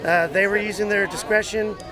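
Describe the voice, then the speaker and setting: An American man with a high-pitched voice speaks as an organizer in an outdoor interview. He explains in halting, broken phrases, with a clearly audible crowd in the background. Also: fairly even intonation. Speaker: rally organizer. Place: outdoors at a public rally. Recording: outdoor crowd noise behind voice. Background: crowd chatter.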